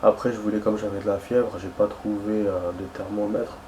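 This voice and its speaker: A man's voice: on the low side, level tone, brisk, dark tone, a little hiss or hum. A young man chatting casually while playing a game, rambling and hesitant, with many fillers and restarts. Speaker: gaming streamer.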